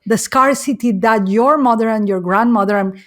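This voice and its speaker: Effusive woman host with non-native accent. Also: a little high. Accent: non-native English. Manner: effusive, halting, repeats phrases. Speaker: podcast host. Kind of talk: conversational podcast interviewing.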